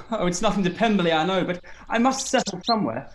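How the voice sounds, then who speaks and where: A man playing a cheerful, eager young Regency gentleman in a drama live read, with polite manners. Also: sits high, animated, fast. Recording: video call with faint noise.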